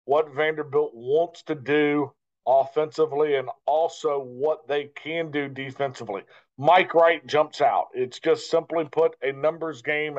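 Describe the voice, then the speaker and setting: A man, a sports broadcaster, giving measured, explanatory answers as a guest in an interview. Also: fairly high pitch. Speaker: guest radio play-by-play broadcaster. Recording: dull lo-fi line.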